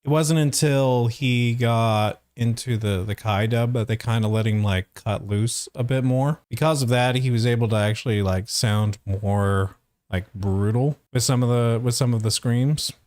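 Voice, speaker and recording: A man's voice: medium pitch, measured pace, darkish tone, no room sound. A young man who voice acts, giving casual, analytical reaction commentary in a hesitant, searching way. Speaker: voice actor reacting and reviewing.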